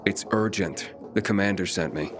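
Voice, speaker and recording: A man's voice: sits low, normal speed, close to an everyday voice. An actor playing a blunt, persistent young male messenger in a survival game, talking in a casual, dry, direct way. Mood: businesslike, insistent. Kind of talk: video game dialogue acting. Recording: game music behind.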